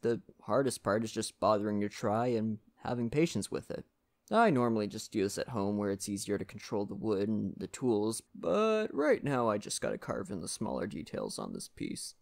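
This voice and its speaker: A man playing a friendly, folksy lumberjack in a soft-spoken ASMR roleplay, talking gently and reassuringly to the listener. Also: lowish voice, big pitch swings, normal speed, gravelly. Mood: relaxed, friendly. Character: friendly Canadian lumberjack, gentle nature-loving father.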